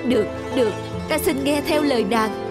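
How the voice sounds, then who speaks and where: A woman's voice: sits high, moderate pace, natural voice. A woman with a Southern Vietnamese accent voicing a devoted young husband, tender and earnest, in a cartoon folk tale. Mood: grieving, pleading. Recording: music behind the voice.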